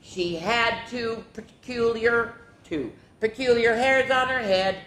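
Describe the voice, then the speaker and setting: A woman's voice: slow, a bit bright. A woman hosting a children's story time, reading picture books in a sing-song way with chatty, exclamatory asides. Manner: chatty, exclamatory, drawing out rhymed words. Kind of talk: animated picture-book read-aloud with sing-song rhymes and casual asides. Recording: echoey room with background rumble noise.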